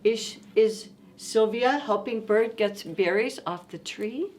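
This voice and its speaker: A woman hosting a storytime, reading a picture book aloud to young children in an expressive, coaxing way and asking them questions. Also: fairly high pitch, unhurried, a little hiss or hum.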